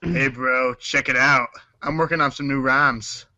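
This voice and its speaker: A man's voice: medium pitch, steady tone, unhurried, pushed and bright, no echo, dull audio. A man acting in a comic reader's theater show, goofing around and stumbling through his lines with ad-libs. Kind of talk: comic table read with ad-libs.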